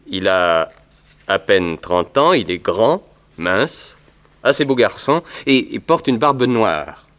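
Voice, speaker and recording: An actor playing an earnest, polite veterinarian who gives deliberate, orderly testimony in a 1960s French radio drama. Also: male voice, on the low side, sing-song, fairly bright tone, full character voice. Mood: earnest, helpful. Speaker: actor in a detective radio serial.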